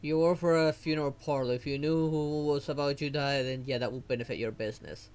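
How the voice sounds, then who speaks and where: A man's voice: on the high side, steady tone, a little fast, a little mellow, a little hiss or hum. A man streaming a game, giving sarcastic live commentary and heckling the characters. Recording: faint game music behind. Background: game music.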